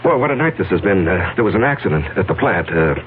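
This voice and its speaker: An actor playing a scheming, nervous businessman with a halting, evasive manner in a 1970s radio drama. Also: deep voice, big pitch swings. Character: scheming, nervous businessman covering up his misdeeds. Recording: noisy, tinny old radio broadcast with hum.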